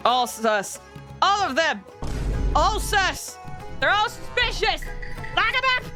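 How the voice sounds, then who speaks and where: A woman's voice: extremely high pitch, sing-song, slow pace. A woman streaming a video game, giving chatty, playful, casual live commentary. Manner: chatty, playful, reads game text aloud. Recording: game music behind the voice. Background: game music.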